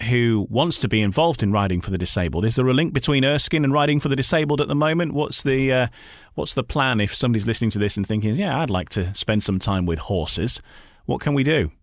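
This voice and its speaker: A man's voice: medium pitch, no room sound. Dry-sounding male radio interviewer. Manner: chatty, prompting with questions.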